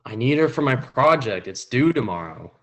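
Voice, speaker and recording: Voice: mid-range voice, sing-song, normal speed, rough recording. A performer reading the part of a sullen, angry teenage boy in a table read over a video call. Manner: curt, resentful.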